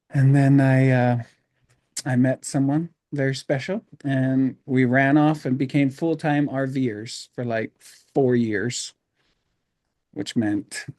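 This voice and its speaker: A middle-aged man from Hawaii talks through his life story as a podcast guest. His pitch sits in an ordinary middle range, and he rambles loosely, with plenty of ums and uhs. The sound is close and dry, with no room echo. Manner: relaxed, rambling, many fillers. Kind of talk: casual storytelling interview.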